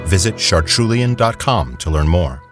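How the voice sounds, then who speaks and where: A male narrator who reads the story and the credits in a steady, measured scripted delivery. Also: low-pitched. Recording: music bed behind.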